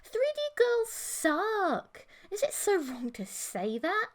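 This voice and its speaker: A woman's voice: extremely high pitch, very animated, slow pace, pitched above the performer's own voice, exaggerated voice. A woman voicing a possessive, manipulative alien mantis girlfriend in an audiobook, coaxing and insistent. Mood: dismissive. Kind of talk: audiobook character voice.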